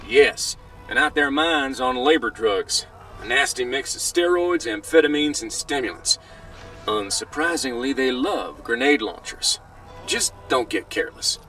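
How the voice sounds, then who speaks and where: A man's voice: medium pitch, very animated, a little slow, gravelly, pushed and bright, faint music behind, heavily acted, poor audio. An actor playing an upbeat, friendly frontier lawman in a video game, with a folksy Southern or Western American accent. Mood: cautioning. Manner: folksy, friendly, drawling cowboy talk. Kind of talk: scripted video game dialogue.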